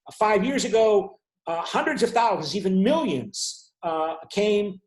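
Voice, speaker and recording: A man's voice: sits high, animated, measured pace, echoey room, low-quality recording. A man, a university lecturer, explaining at length in an online class and often checking in with his students. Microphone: video call.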